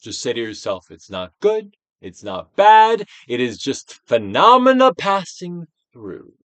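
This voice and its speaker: A man's voice: medium pitch, huge pitch swings, measured pace, no echo. A man giving a theatrical, declamatory dramatic reading of a self-help book, like a stage Shakespearean, drawing out phrases for emphasis. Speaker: audiobook reader, actor.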